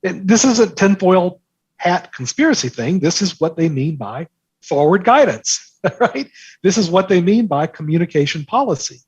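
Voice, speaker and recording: A man with a fairly high, husky voice speaks as an interview guest in an explanatory, conversational way. He drives points home by repeating phrases, and the sound is slightly dull and dry. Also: a little mellow, no room sound. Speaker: interview guest, markets newsletter author.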